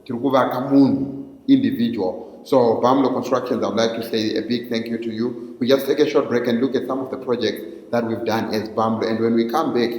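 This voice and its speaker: A man's voice: mid-range voice, steady tone, a little fast, darkish tone, roomy sound, long reverb tail, rough recording. A Zimbabwean man hosting a talk show, switching between English and Shona and murmuring agreement as his guest talks. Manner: mixes English and Shona, frequent affirming murmurs. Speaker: host.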